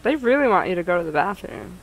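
A woman's voice: on the low side, very animated, fast, slight background noise, poor audio. A woman streaming a game, chatting casually with friends and reading its story text aloud.

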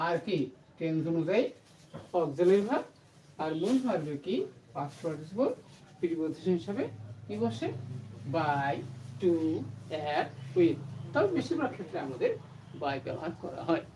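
Animated, bright-voiced woman teaching. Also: sits low, normal speed, pauses often. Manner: asks questions and answers them herself. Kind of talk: grammar lesson to camera. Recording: distant mic, faint background noise.

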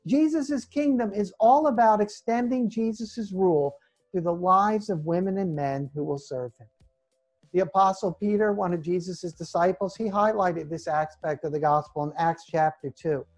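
A middle-aged man preaching a sermon from notes, emphatic and deliberate, repeating key phrases. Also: high-pitched, darkish tone. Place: home sunroom with large windows, indoors.

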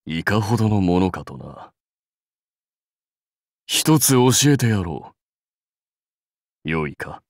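Samurai warlord, game role: commanding, dignified, archaic diction, unhurried delivery, slightly low register. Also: male voice, dry recording, clean studio sound. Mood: lordly, instructive. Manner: stately, archaic lordly speech. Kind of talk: Japanese mobile game character voice lines.

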